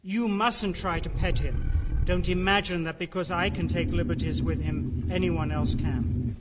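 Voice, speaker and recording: An actor playing a genial, sly gentleman who keeps exotic beasts, effusive and genteel in a theatrical radio drama style. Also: a little high, measured pace, lightly acted. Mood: proud, relishing. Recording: dull, noisy old radio-drama recording.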